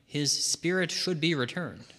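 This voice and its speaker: A man playing a calm, blunt, matter-of-fact paranormal investigator in a comedy audio drama, delivered deadpan. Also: fairly high pitch, a little slow. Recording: long reverb.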